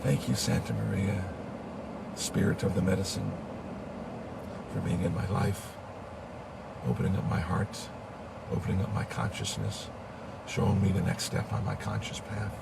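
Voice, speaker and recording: A middle-aged man with a cold, hoarse and murmuring, leading a meditative live-streamed ceremony. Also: low-pitched, fairly even intonation, recorded from a distance, heavy background noise. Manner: slow, meditative, murmuring thanks. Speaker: spiritual leader.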